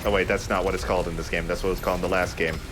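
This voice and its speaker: Man, low voice, let's player, casual gaming commentary, music playing loudly behind. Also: little pitch movement, very fast.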